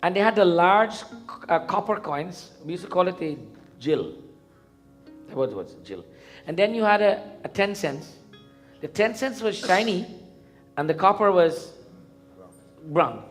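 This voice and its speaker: High-voiced Guyanese man preaching, music behind. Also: lively intonation. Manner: didactic, questioning, deliberate repetition. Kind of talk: interactive sermon. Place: live event in a temple before a congregation.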